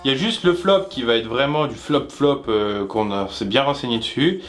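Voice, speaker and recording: A man's voice: mid-range voice, moderate pace, a bit bright, faint music behind. A man co-hosting a web show, explaining things in an informal, jokey way and often interrupting himself. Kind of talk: casual explainer chat with co-host.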